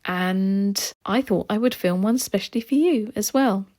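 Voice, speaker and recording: A woman narrating her art vlog in a relaxed, chatty way, her voice slightly hoarse as she loses it. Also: medium pitch, a little slow. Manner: chatty, relaxed, with soft laughs and ums. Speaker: art vlogger. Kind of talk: casual voice-over narration.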